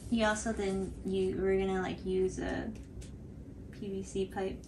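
Young woman co-hosting a craft tutorial, loose conversational delivery full of fillers, clear background noise. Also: medium pitch, flat delivery, measured pace, warm tone. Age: young adult. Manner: hesitant, filler-heavy phrasing with frequent "like". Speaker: cosplay tutorial co-host. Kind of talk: casual explanatory chat. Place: small furnished room indoors, on a couch. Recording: clearly noisy home recording.

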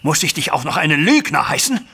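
Distraught father, bright intense voice, gravelly edge. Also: fairly high pitch, sing-song, a little fast, heavily acted. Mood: furious accusing. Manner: formal, agitated, dramatic. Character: distraught, anguished banker father recounting a family scandal. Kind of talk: German audio drama acting. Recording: studio audio drama with music underneath.

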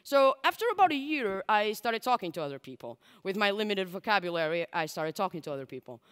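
A woman's voice: medium pitch, sing-song, quick pace, fairly bright tone, smooth. A woman in her twenties, a native Portuguese speaker, giving a candid, chatty conference talk on a large stage through a headset mic. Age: 20s. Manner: candid and chatty, full of likes and asides. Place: large dark stage with audience. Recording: long hall reverb.

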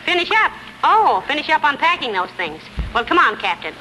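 A woman playing a sensible, folksy wife who chats and gently scolds in a 1940s radio comedy. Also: sits high, big pitch swings, fairly quick, full character voice. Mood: chatty. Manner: homely, chatty, gently scolding. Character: sensible, folksy wife, good-naturedly exasperated. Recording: faintly noisy 1940s radio recording.

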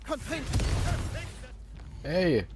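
A man's voice: sits high, huge pitch swings, extremely slow. A man hosting a let's play, giving casual, playful commentary on a video game. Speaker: let's player, host. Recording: game noise behind the voice. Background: video game ambience.

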